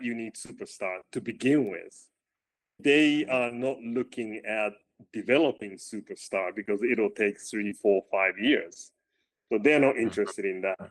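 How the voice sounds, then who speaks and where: A man with a loud voice, a running coach as guest, talking casually and often restarting with repeated words. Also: medium pitch. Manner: halting, repeats words while thinking. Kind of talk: casual interview chat.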